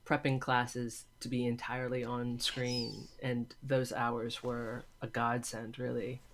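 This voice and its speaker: A woman's voice: extremely low pitch, level tone, slow, a little hiss or hum. A woman from California with an American accent, answering conversationally as an interview guest. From California, USA. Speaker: interview guest, film lecturer and voice actor.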